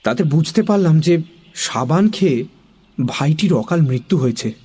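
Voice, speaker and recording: A woman narrating a comic children's story in an expressive storytelling style. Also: low-pitched, normal speed, husky.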